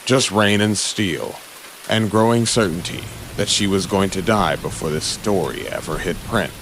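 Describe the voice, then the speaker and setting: A man's voice: low voice, normal speed, fairly bright tone, a little hiss or hum, rough recording. A man narrating an audio drama in a suspenseful, dramatic scripted style, with short punchy phrases.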